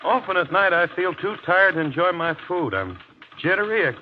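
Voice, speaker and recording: Casting: earnest wartime worker for vintage radio ad, fairly high voice, noisy recording. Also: male voice, expressive, a little fast, phone-quality sound. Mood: weary, worried. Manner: earnest testimonial delivery. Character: weary, jittery wartime worker in a radio advertisement. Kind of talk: 1940s radio commercial acting.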